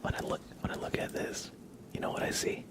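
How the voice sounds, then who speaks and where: An ASMR streamer chatting casually in a soft, rambling whisper during a livestream. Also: brisk.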